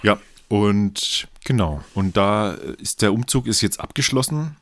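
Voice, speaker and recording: A man's voice: on the low side, measured pace, dry recording, studio quality. A man with a Franconian accent co-hosting a beer podcast in casual chat, rambling and correcting himself often, laughing easily. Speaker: podcast co-host.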